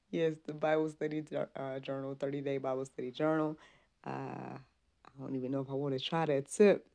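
A woman's voice: rumbling low, steady tone, moderate pace, pauses often, mellow. A woman hosting a casual faith-based livestream, chatting informally and devoutly with frequent praise and interjections. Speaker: podcast host.

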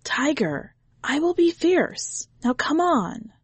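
Woman voicing an earnest, high-pitched little boy. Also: very animated, slow pace, no room sound, exaggerated voice. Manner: simple, childlike pleading. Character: earnest, affectionate, pleading five-year-old boy.

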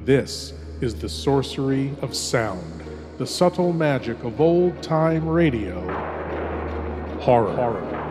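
A man hosting a horror show, giving a slow, ominous, theatrical scripted introduction. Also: mid-range voice, expressive, long reverb tail, music in the background. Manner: slow, ominous, dramatic delivery.

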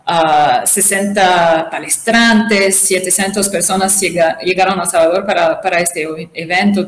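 A woman whose first language is not Spanish answers interview questions in a halting way, with many fillers and restarts. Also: fairly low pitch. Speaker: interview guest, conference organizer. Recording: echoey room.

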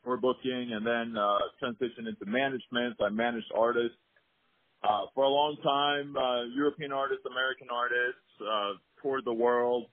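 A man from Sweden, telling his story in a relaxed, easygoing way as an interview guest. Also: mid-range voice, moderate pace, no room sound. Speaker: guest, former music manager. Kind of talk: casual interview over phone. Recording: husky voice on a tinny, dry phone line.

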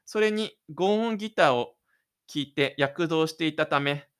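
A male narrator tells a story in an audio drama. His voice is a little higher than average, and he reads in a scripted, literary style. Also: unhurried, a bit bright, clear, resonant tone.

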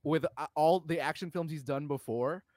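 A man co-hosting a podcast, chatting casually about films in an excitable, slangy and casually profane way. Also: a little high, moderate pace, dry recording, clean studio sound. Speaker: podcast co-host. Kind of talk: casual film chat.

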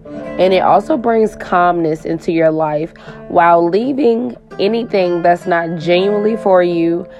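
A woman life coach with a raspy voice, giving a spiritual coaching talk and tagging her lines with "okay?". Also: on the low side, unhurried, a little mellow, muffled recording. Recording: guitar music playing under the voice.

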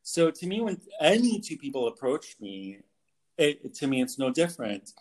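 A man's voice: on the high side, big pitch swings, rough recording. Earnest Canadian man on a video call. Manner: earnest, halting, with many fillers and restarts. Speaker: guest photographer. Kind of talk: casual interview.

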